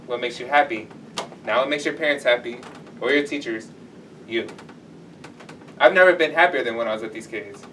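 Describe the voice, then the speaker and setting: A man's voice: mid-range voice, fairly bright tone, natural voice. A man performing an earnest, confessional stage monologue as a remorseful failed rocker who faked being a teacher. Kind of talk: live theatrical monologue. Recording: distant stage mic with background noise.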